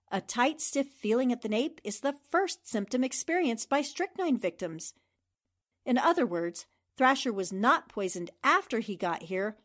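A woman playing a confident, probing newspaper reporter in a 1940s radio drama, incisive and assured. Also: a little high.

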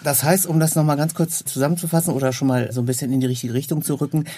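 A man's voice: fairly high pitch, fairly even intonation, very fast, warm tone, no room sound. A man hosting a talk podcast, chatting and presenting to listeners and guests. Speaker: podcast host and interviewer.

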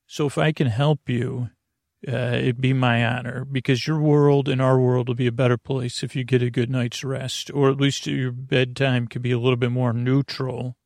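A man hosting a bedtime podcast in a meandering, halting, whimsical monologue with a gravelly, creaky voice. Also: medium pitch, level tone, a little mellow, dry recording, clean studio sound. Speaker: podcast host.

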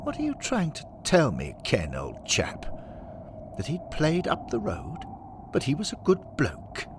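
Man putting on a pompous, excitable gentleman. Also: a little high, measured pace, noisy background, voice pushed up. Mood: indignant. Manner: plummy, blustering, pompous. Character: pompous excitable old-fashioned English gentleman, club founder.

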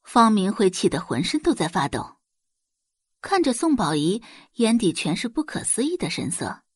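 A woman reading scripted dramatic fiction as an audiobook narrator, in an expressive storytelling manner. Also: medium pitch, moderate pace, slightly rough, dry recording, studio quality.

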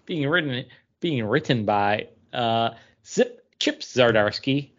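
A man's voice: mid-range voice, lively intonation, takes it slowly, fairly bright tone. A man chatting casually as a podcast co-host, stumbling along in a self-mocking way. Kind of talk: casual chat about comics and beer. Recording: dull, lo-fi audio.

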